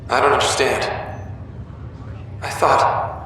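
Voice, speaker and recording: A bewildered young man speaks slowly and haltingly from deep within a coma. His distressed voice rings with heavy, distant echo. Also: flat delivery, bright, forceful tone. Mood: shocked, distressed. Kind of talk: dramatic video game acting. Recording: distant, very echoey hall, slight noise.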